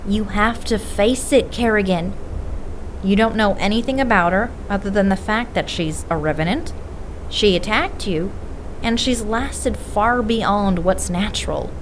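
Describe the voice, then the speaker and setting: Stern female occult detective, played by a woman, firm and lecturing, medium pitch, noisy recording. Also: measured pace, lightly acted. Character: stern female supernatural-lore expert detective. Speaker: occult expert investigator. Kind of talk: audio drama acting.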